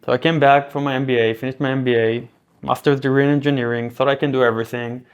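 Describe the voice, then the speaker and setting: A man in his thirties from Israel with a Hebrew accent, a guest chatting casually in an interview, relaxed and full of fillers and restarts. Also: mid-range voice, fast, clear, resonant tone, highs cut off.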